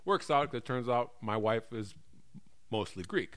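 A man's voice: mid-range voice, moderate pace. A middle-aged American man chatting casually and comically, rambling and often correcting himself as he goes. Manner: animated, rambling, self-correcting. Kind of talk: casual comedic chat.

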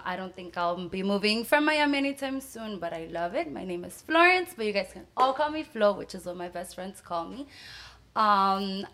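A woman in her thirties, from El Salvador, guesting on a chat show, chatty and emphatic, trailing off low at the ends of phrases. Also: fairly bright tone. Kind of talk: casual chat.

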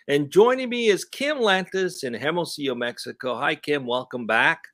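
A man's voice: fairly high pitch, animated, normal speed, a bit bright. A man hosting a casual talk show, upbeat and joking with his guests. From Southern California. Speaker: show host. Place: home studio, Southern California.

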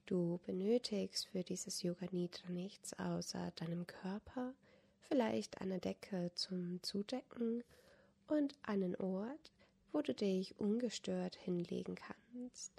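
A woman softly guiding a yoga nidra meditation in a breathy, whispery voice, calm and unhurried, with long pauses. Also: mid-range voice. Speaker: yoga nidra guide, podcast host. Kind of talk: guided meditation, soft instruction.

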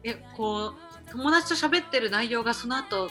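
A woman chats as a podcast co-host in a crisp, bright tone. Her pitch sits a little high and her delivery is informal, like talk between friends. Music runs faintly underneath. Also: animated, a little slow. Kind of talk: casual chat between friends. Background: background music.

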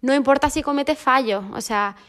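Una mujer joven con acento de España, invitada en un pódcast, charlando y contando anécdotas entre risas. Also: female voice, high voice, moderate pace, fairly bright tone. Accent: Spain Spanish. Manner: chatty, laughing storyteller. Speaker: podcast guest. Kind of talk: casual conversation.

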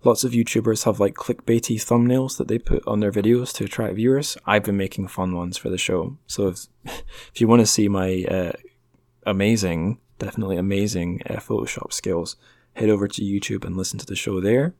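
A man's voice: lowish voice, steady tone, a little fast, a little mellow, dry recording, clean studio sound. A man hosting a podcast, chatting casually in a rambling, jokey, self-deprecating way. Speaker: podcast host. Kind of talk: casual solo podcast outro, chatty plugs for the show.

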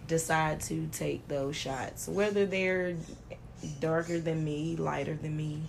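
Slow, gravelly-voiced woman chatting casually. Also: deep voice, fairly even intonation, slight background noise. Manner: laughing, storytelling with many ums. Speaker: podcast co-host. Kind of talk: casual chat.

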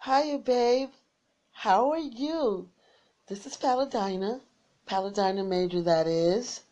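A tired woman who is losing her voice, rambling through friendly shout-outs to listeners on her small internet radio station. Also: mid-range voice, slow pace, muffled recording. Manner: rambling and warm, repeats her sign-off.

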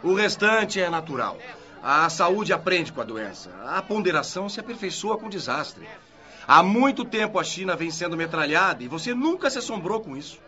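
A calm spirit worker in a radio drama who reassures a nervous colleague with light irony. The voice is high and bright and moves lively in pitch. The audio is dull and lo-fi. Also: male voice, moderate pace, full character voice. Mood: reassuring.

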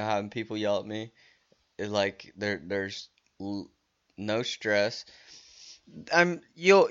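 Halting, slow-talking man losing his voice. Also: fairly low pitch, clear, resonant tone, lo-fi sound. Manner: rambling, halting, with many restarts and fillers. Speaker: podcast host. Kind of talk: casual solo podcast talk.

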